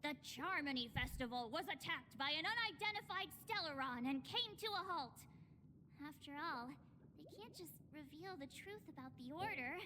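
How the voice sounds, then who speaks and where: An actress playing a perky, kind-hearted young girl adventurer with expressive, theatrical delivery in a video game. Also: sits high, moderate pace, slight background noise. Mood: explaining. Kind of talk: scripted video game dialogue. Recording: game music behind the voice. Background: game music.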